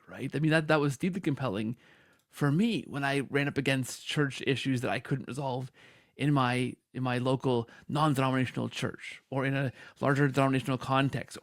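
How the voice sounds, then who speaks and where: A man's voice: mid-range voice, dry recording, clean studio sound. A man hosting a conversational interview podcast, speaking effusively with choppy restarts and repeated phrases. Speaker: podcast host.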